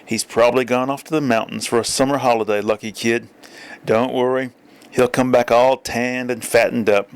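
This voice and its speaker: A man's voice: medium pitch, fairly even intonation, moderate pace, smooth, dry recording, lightly acted. A man voicing a breezy police captain who talks in an offhand, reassuring way.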